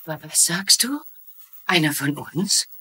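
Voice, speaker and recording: A woman's voice: low-pitched, animated, a little slow, sharp tone, slightly rough, full character voice. An actress playing a level-headed middle-aged mystery writer in a dubbed TV drama, probing and reasoning as she talks. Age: middle-aged or older.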